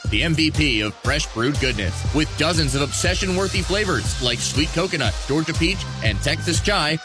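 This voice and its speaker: Male commercial announcer, punchy scripted delivery, higher-than-average voice over music. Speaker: drink ad announcer.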